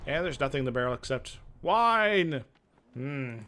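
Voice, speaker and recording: A man's voice: medium pitch, expressive, measured pace, bright, forceful tone. A man narrating a video game playthrough, mixing casual, hesitant, wry asides with dramatic reading of the text.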